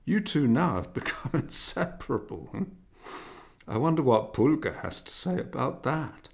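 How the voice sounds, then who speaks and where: A man reading a novel aloud, voicing an anxious, doting middle-aged mother who questions gently and solicitously. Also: mid-range voice, big pitch swings, measured pace, vocal fry, pitched above the performer's own voice. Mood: gently probing.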